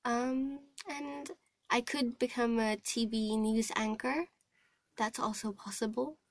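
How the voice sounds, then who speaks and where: Young female trainee from Hong Kong, higher-pitched, conversational, thoughtful with some hesitation. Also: fairly even intonation, slow. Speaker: voice-over trainee. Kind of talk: casual interview answers. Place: recording studio.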